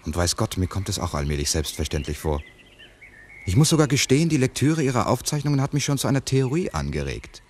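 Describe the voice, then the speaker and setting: An actor playing a wry, sceptical, inquisitive photographer in a 1960s German radio play, dry and precise. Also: male voice, mid-range voice, very animated, quick pace, lightly acted. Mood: wry, teasing. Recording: noisy 1962 mono radio recording.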